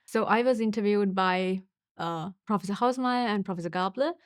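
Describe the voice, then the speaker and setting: A young woman from Sri Lanka, a university student giving a casual testimonial, thoughtful and unhurried with small pauses and fillers. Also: medium pitch, no room sound, clean studio sound.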